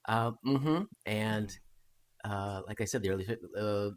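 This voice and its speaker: A man's voice: lowish voice, measured pace. A man guesting on a podcast, chatting casually and asking curious questions. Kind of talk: casual chat.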